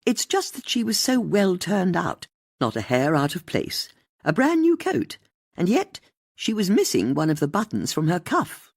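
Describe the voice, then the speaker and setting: A narrator voicing a brisk, confident, determined older woman in an audiobook mystery. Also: medium pitch, huge pitch swings, no echo, studio quality, pitched above the performer's own voice. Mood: intrigued. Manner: brisk, decisive, inquisitive.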